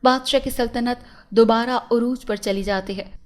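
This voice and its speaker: A woman's voice: fairly high pitch, steady tone, normal speed. A woman reading a scripted fairy tale as a storyteller.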